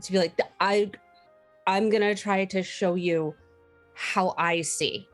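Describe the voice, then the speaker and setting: A woman's voice: medium pitch, steady tone, slow, soft background music. A woman playing a tabletop game, casually describing what her character does.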